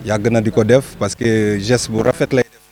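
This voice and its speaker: A man's voice: lowish voice, steady tone, normal speed, a little mellow. A man with a Senegalese accent giving a spontaneous, sincere testimonial and repeating himself as he goes.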